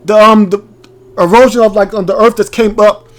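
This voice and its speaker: A man's voice: high voice, steady tone, a little fast, slight background noise. A man hosting a game playthrough, commenting casually and reading text aloud, informal and prone to stumbling over words. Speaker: gaming video host.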